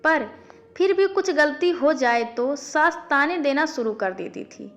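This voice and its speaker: A woman's voice: sits high, expressive, unhurried, a bit bright. A woman storyteller reading a scripted family moral story in audiobook narration. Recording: faint background music. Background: faint music.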